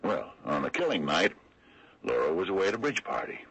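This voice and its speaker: Very deep, gravelly wry lawman. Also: big pitch swings, a bit bright, heavily acted, low-quality recording. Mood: somber, sardonic. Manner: deliberate, folksy, sardonic, hesitant drawn-out delivery. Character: wry, slow-talking older small-town lawman exposing a cover-up. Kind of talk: 1970s radio mystery acting. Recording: old radio drama broadcast recording.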